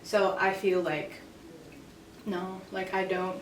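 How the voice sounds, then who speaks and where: A woman's voice: mid-range voice, flat delivery, takes it slowly. A young woman in a casual group discussion, talking hesitantly with many fillers. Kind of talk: casual chat. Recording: roomy, from a distance, faint noise.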